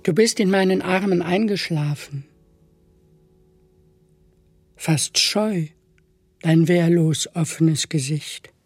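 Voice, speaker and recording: Slow, higher-pitched man reciting over music. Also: no room sound. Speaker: poetry reader. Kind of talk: lyrical poetry recitation set to music. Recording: music clearly behind the voice.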